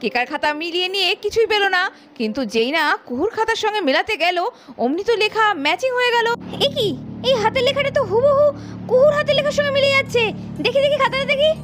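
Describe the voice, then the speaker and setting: A woman narrating a cartoon story and voicing several characters, with sharp scolding lines, over background music. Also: extremely high pitch, fast, slight background noise. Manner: scolding, shifts between narration and character voices. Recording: music underneath.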